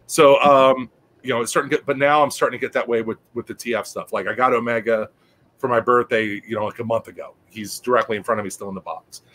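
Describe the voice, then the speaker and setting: A man chatting casually in a bright, forceful voice. He stammers and restarts often and drifts into crude jokes. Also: mid-range voice, fairly even intonation, fast talker. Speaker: podcast co-host. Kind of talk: casual chat.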